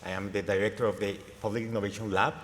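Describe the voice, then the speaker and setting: A man's voice: on the low side, level tone, fast, fairly bright tone. Colombian guy on a conference panel, mid voice, earnest, stumbles and repeats, long echo. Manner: earnest, repeats words while searching. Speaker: panelist, innovation lab director. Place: large conference stage. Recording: long hall reverb.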